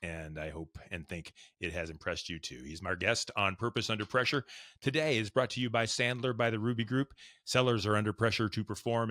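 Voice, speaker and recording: A man with a very low, bright and forceful voice hosts a podcast interview. He is enthusiastic and full of praise, often restarting mid-sentence. The sound is dry and close. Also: dry recording. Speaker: podcast host.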